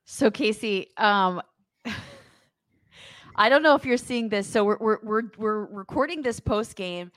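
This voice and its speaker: A woman co-hosting a sports podcast in casual post-game chat, speaking in an animated, emphatic way. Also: mid-range voice, takes it slowly, long pauses, dry recording, clean studio sound.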